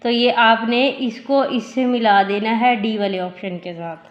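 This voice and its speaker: A woman teacher, slightly hoarse from a sore throat, explains math step by step and asks questions she answers herself. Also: a little high, steady tone, unhurried, highs cut off. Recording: echoey room.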